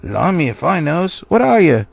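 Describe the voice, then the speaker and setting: A man's voice: a little high, big pitch swings, moderate pace, gravelly, no room sound, voice pushed up, exaggerated voice. A man voicing a big, crude, grumbling troll in a storybook, with a coarse, blustering, slangy manner. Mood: suspicious.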